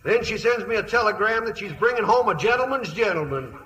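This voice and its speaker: Folksy Wild West father, newly rich, flustered, American drawl, high bright voice, old-time radio comedy. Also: expressive, brisk, slight background noise, rough recording. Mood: worried. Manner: rustic drawling cowboy dialect. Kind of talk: 1950s radio comedy acting. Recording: old radio broadcast recording.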